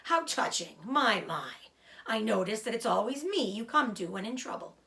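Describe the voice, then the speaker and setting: A woman's voice: fairly high pitch, sing-song, moderate pace, gravelly, pushed and bright, heavily acted. A woman voicing a greedy, self-pitying old male rat in a storybook, complaining and mocking theatrically.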